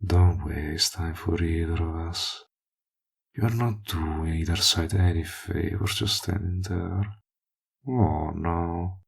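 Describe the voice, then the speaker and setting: Man acting a gravelly, slow-talking smug villain. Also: deep voice, exaggerated voice. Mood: possessive, condescending. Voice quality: gravelly, husky. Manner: taunting, mock-polite, deliberate drawl with drawn-out threats. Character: smug menacing male villain, possessive toward a former friend. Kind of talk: scripted audio roleplay drama.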